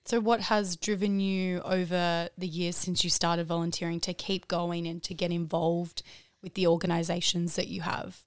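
A woman's voice: on the low side, little pitch movement, moderate pace, hardly any pauses, no echo, clean studio sound. A woman hosting a podcast, chatty, asking encouraging questions. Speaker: podcast host.

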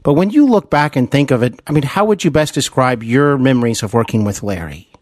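A man's voice: medium pitch, lively intonation, a little fast, a little mellow, a little hiss or hum. A man hosting a conversational podcast interview, effusive and wordy, feeling his way into each question. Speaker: podcast host interviewing a guest.

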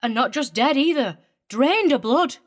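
A boisterous innkeeper's wife , acted by a woman for an audio story, with a fairly high and very lively voice. She is bossy and exclamatory, full of grievance. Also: moderate pace. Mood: indignant. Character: loud, aggrieved, bossy middle-aged innkeeper's wife.

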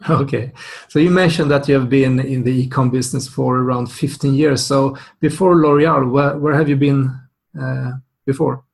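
A man's voice: mid-range voice, steady tone, moderate pace, creaky. A man hosting an interview, asking questions with frequent uhs and restarts. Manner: hesitant questioning with many uhs. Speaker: interview host.

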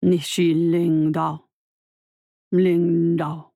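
Woman voicing a slow, deep, menacing tortoise. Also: little pitch movement, dark tone, no echo, studio quality. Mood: scornful. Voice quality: deep, heavy, rumbling. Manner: slow, weighty, authoritative delivery. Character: slow, scornful, menacing mysterious tortoise with heavily accented speech.

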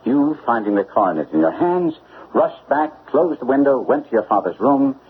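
A man's voice: mid-range voice, big pitch swings, normal speed, natural voice. An actor playing a shrewd, self-assured male detective in a classic radio drama, speaking precisely and formally. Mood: assured, explaining. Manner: precise, formal, incisive delivery. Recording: phone-like old radio recording, faint noise.

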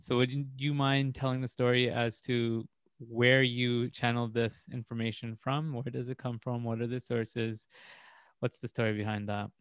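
A man hosting a spiritual podcast, speaking in a measured, earnest way with drawn-out phrases. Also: medium pitch, level tone, clear, resonant tone. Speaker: podcast host. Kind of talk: spiritual podcast intro and interview.

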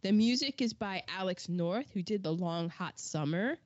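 A woman's voice: medium pitch, expressive, normal speed, a little mellow, no echo, lo-fi sound. A woman co-hosting a podcast in casual chat, running through film credits with asides and false starts. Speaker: podcast co-host.

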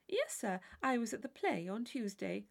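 A woman's voice: a little high, big pitch swings, moderate pace, gravelly, exaggerated voice. A woman voicing a polite, modest young lady who answers demurely in a classic novel reading. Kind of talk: classic novel audiobook character voice.

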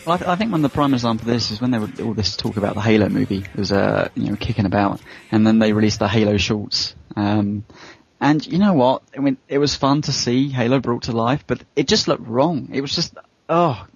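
A man's voice: medium pitch, a little fast, vocal fry, husky, no room sound, rough recording. A man in a casual podcast discussion, giving his opinions in a conversational way. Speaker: podcast panelist.

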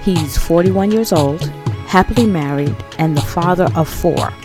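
Low-voiced woman hosting over loud music. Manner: measured, sing-song, drawn-out phrasing. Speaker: podcast host. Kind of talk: podcast interview hosting. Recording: loud music bed under the voice.

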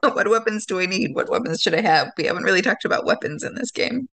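A woman's voice: mid-range voice, lively intonation, fast, gravelly, fairly bright tone. A woman talking casually as a player in a tabletop roleplaying game, hesitant and full of questions. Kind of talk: casual improvised game talk.

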